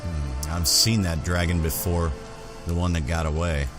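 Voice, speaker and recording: A man's voice: low voice, fairly even intonation, normal speed, over music. A man doing roleplay commentary as he plays a video game, talking conversationally and in character. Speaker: gamer playing in character.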